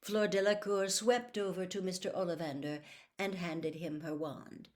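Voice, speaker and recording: Storybook narrator: woman with a slightly low voice, expressive read-aloud delivery. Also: normal speed. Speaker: audiobook narrator.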